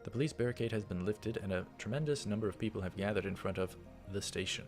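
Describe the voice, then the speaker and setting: A man with a fairly low voice and a noticeable creaky fry reads game text aloud as a let's play host. He drops in casual, offhand asides. Music plays clearly behind him. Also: fast.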